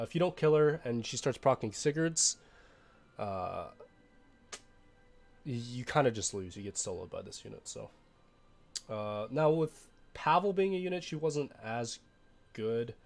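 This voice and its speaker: A young man, a little hoarse from being sick, talking casually through his game roster with frequent fillers and restarts. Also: mid-range voice, a little mellow. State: hoarse from illness. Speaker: game streamer.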